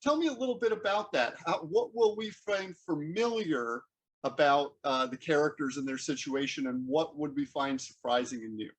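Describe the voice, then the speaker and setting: Male host, fairly high-pitched, conversational interview questioning with a halting, searching delivery. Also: expressive, slightly rough, poor audio.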